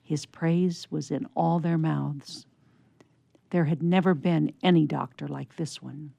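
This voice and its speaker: A woman narrating a scripted story for radio theatre in a measured storytelling manner. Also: sits low, steady tone, creaky, dark tone, no echo, lo-fi sound.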